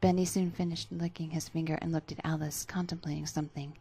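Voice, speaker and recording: A woman gives a dramatic scripted narration of fan fiction. Her voice sits a little low and is distinctly husky and breathy. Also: level tone, fast talker, mellow.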